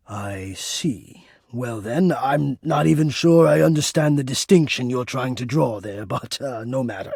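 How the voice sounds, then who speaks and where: Casting: an anxious, tender male air duct spirit for a dating game; husky, close and dry, formal and halting. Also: mid-range voice, a little mellow, no echo. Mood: nervous, formal. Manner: earnest, formal, hesitant. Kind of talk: dating game character acting.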